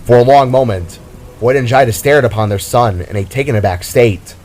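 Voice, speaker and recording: A man's voice: mid-range voice, fairly quick, darkish tone. A man reading scripted dramatic narration as the author of the story.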